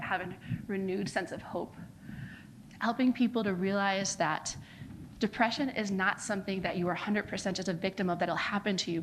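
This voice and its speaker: A woman's voice: medium pitch, slight background noise, rough recording. An American woman giving a conference talk as a charity founder, earnest, with frequent fillers like "kind of" and "like". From United States. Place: conference venue.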